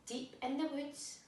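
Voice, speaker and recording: In a very echoey room, a woman reads a children's story at some distance from the mic. She takes her time, pausing and gasping for drama, in an expressive storyteller's delivery. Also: high voice, slight background noise. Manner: dramatic, with audible gasps and pauses. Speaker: storybook reader.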